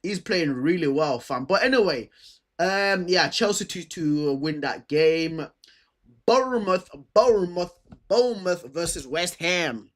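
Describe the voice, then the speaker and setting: A man's voice: high voice, animated, a little slow, a bit bright. A man with a stammer chatting casually and unscripted as he hosts a football preview, using slang and repeating himself as he thinks aloud. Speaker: football fan host previewing fixtures.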